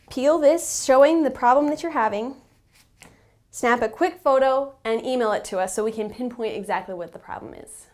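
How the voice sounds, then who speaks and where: A woman's voice: high-pitched, a little mellow. A woman narrating a step-by-step how-to tutorial as an instructor, patient and instructive, stressing the key tips. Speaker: instructor and company representative in how-to videos.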